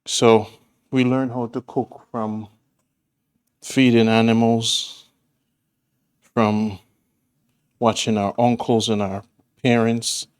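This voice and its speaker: A Jamaican man talks his viewers through a recipe in his own kitchen, unhurried and easygoing. His voice sits at an ordinary middle pitch, and he drifts into chatty, homely asides between steps. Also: flat delivery, clear, resonant tone. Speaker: cooking show host. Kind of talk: casual cooking demonstration. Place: home kitchen.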